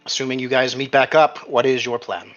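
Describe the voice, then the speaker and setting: A man's voice: mid-range voice, fairly even intonation, a little fast, a bit bright, lo-fi sound, soft background music. A man working as game master, narrating a tabletop roleplaying session in a casual, conversational way.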